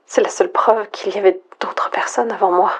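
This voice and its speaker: A woman playing a lonely, frightened last survivor, murmuring a halting, introspective audio log in a breathy, husky near-whisper. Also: mid-range voice, fairly even intonation. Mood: lonely, bewildered. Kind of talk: hushed monologue, analog horror audio log.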